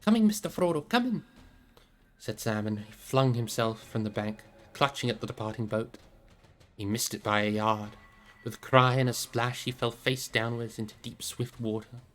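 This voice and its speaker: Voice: medium pitch, little pitch movement, moderate pace, fairly bright tone. A live streamer reading a fantasy novel aloud as narrator, in a storybook narration style.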